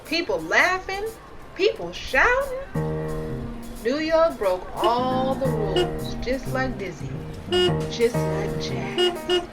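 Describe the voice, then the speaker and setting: A woman reading a children's picture book aloud in an expressive, playful, theatrical way with dramatic pauses. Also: sits high, over loud music. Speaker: storybook reader.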